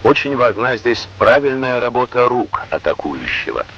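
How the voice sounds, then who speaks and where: A man reading scripted instructional narration in a formal, measured, didactic way, on an old Soviet educational film soundtrack. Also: mid-range voice, very animated, fairly bright tone, dull audio, slight background noise.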